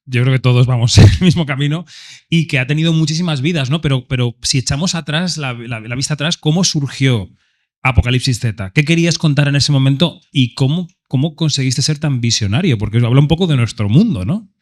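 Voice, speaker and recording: A man's voice: mid-range voice, brisk. Castilian Spanish man hosting a live event. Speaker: event host and moderator. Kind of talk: live event presentation and panel questions.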